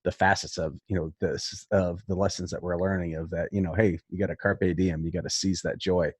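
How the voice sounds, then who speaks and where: A man with a deep, rough-edged voice hosts a casual interview, recorded close and dry. He sounds agreeable and informal, leaning on filler phrases as he talks. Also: flat delivery, a little fast, darkish tone, dry recording. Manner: agreeable, peppers speech with "you know". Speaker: podcast host, interviewer.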